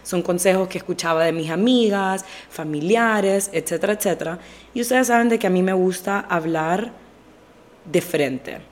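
Podcast host: young woman, a bit hoarse and stuffy, lowish voice, direct and chatty. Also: moderate pace. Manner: direct, chatty, mixes English phrases into Spanish. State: slightly hoarse, stuffy nose. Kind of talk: casual solo podcast chat.

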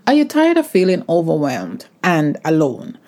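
A woman's voice: sits low, huge pitch swings, normal speed, darkish tone. A middle-aged woman hosting a podcast, reading a reassuring promo and repeating her point to make sure it lands. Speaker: podcast host. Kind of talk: promo read for her coaching calls.

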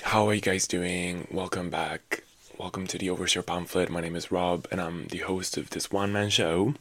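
A man in his twenties hosting a solo podcast in casual, rambling chat, his voice raspy and low after a night of drinking. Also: fairly even intonation, normal speed, fairly bright tone. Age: 20s. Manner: rambling, many fillers and restarts. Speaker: podcast host.

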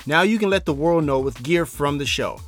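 A man hosting a podcast with an upbeat, energetic delivery. His voice sits a little higher than most men's. Faint music plays underneath. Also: brisk. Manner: upbeat, repeats phrases like "for sure" and "got you". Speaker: podcast host.

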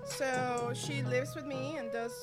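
High-voiced Venezuelan woman in her thirties. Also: fairly even intonation, slow, over music. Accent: Venezuelan Spanish. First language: Spanish. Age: 30s. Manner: friendly, hesitant, restarts sentences. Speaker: professional dominatrix guest. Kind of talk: casual interview chat.

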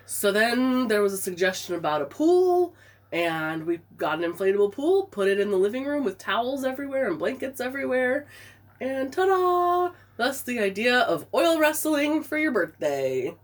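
Brief: woman co-host with a head cold, husky, animated storyteller. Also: a little high, moderate pace. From Pacific Northwest. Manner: animated storytelling, laughing often. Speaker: podcast co-host. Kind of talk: casual chat.

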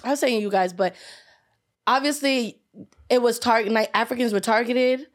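A woman's voice: a little high, moderate pace. A woman hosting a podcast in casual chat, blunt and outspoken. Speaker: podcast host.

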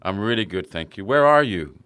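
A man hosting a podcast interview, talking casually with plenty of fillers and pauses, a little jet lagged. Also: on the low side, clean tone. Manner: relaxed, hesitant with fillers. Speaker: podcast host. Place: in Sydney.

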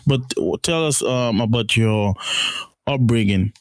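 A man's voice: medium pitch, dry recording. A man hosting a podcast interview, encouraging and often restarting his sentences. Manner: warm encouraging, halting restarts. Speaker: podcast host.

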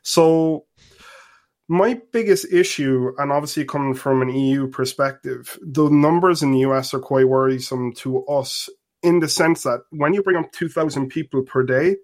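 A man's voice: fairly high pitch, moderate pace. A man with an Irish accent calling in to a talk radio show, explaining his point earnestly and step by step. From Ireland. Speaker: caller. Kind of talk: call-in radio discussion. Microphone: phone or online call.

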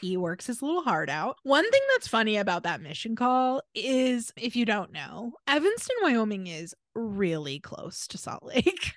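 A woman with an American accent co-hosting a podcast in casual chat, telling stories in an animated, informal way. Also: on the high side, moderate pace, hardly any pauses, no echo. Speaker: podcast co-host.

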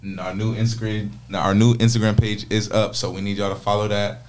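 A man's voice: fairly low pitch, little pitch movement, brisk, a little mellow. A young man co-hosting a podcast, making announcements in a casual way with hesitant fillers. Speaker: podcast co-host.

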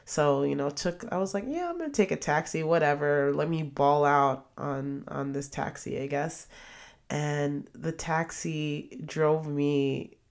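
Young adult woman podcast host with a cold, low and gravelly voice, loose rambling delivery. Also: unhurried. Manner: chatty, rambling, many fillers and restarts. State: sniffly from a cold. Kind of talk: casual solo podcast monologue.

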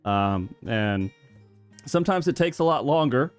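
A man's voice: medium pitch, lively intonation, unhurried, clean tone, faint music behind. A man in his thirties hosting a podcast, chatting casually, rambling and laughing often. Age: 30s. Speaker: podcast host.

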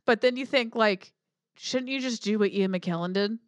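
A woman's voice: a little high, moderate pace, no echo. A woman co-hosting a podcast, bluntly skeptical in casual movie chat, often trailing off mid-thought. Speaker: podcast co-host.

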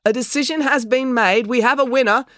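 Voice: sits high, fairly quick, a bit bright, dry recording, studio quality, voice pushed up. A narrator voicing a pompous man in a storybook, using a grand announcer's voice. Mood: proclaiming.

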